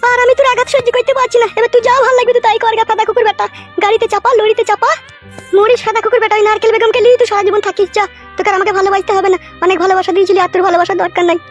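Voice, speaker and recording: A scolding cartoon wife with an extremely high, squeaky voice. She nags very fast, sulky and accusing, heartbroken with jealousy, with faint music behind her. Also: level tone. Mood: bitter scolding. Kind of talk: Bengali comedy cartoon dubbing.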